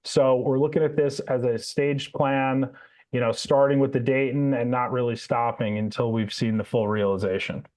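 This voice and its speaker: A male executive with a medium-pitched voice talks through plans on an earnings call. His delivery is unscripted and conversational, with tentative starts. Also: fairly even intonation, moderate pace, darkish tone. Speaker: company operations executive.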